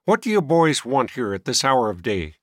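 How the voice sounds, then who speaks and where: Man voicing a testy old gentleman, raised pitch. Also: big pitch swings, moderate pace, no room sound, studio quality. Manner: testy, scoffing, grumbling. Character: irritable, suspicious, stooped old gentleman. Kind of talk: audiobook character voice.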